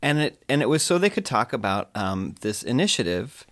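A man's voice: medium pitch, sing-song, normal speed, fairly bright tone, dry recording, clean studio sound. A man co-hosting a podcast, explaining something in a hesitant way with many fillers and restarts. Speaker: podcast co-host. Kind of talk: casual podcast conversation.

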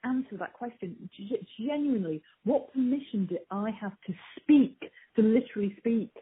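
A woman's voice: fairly high pitch, animated, moderate pace, husky. A woman answering interview questions as a strategy executive, earnest and emphatic, on a poor-quality muffled recording. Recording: poor, muffled phone-like lo-fi sound.

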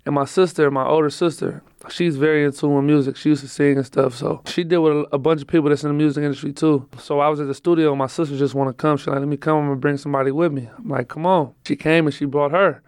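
Male rapper guest, casual and slangy, clean smooth tone. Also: fairly high pitch, steady tone, quick pace, hardly any pauses, a little mellow, no room sound, clean studio sound. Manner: laid-back, rambling, slangy speech. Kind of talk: casual interview storytelling.

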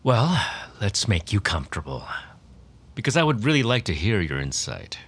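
Condescending office boss, audio drama, low voice, swooping intonation, halting, self-absorbed. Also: male voice, sing-song, a bit bright, breathy edge, heavily acted. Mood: loosening up. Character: smug, condescending male boss, suspicious, self-absorbed, turning giddy. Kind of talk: naturalistic audio drama acting.

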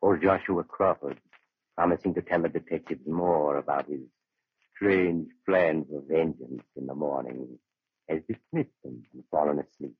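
An actor playing an eerie male storyteller, narrating ominously and with measured delivery in 1940s radio horror style. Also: a bass voice, slightly rough, no echo, heavily acted. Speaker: story host. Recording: dull old radio broadcast.

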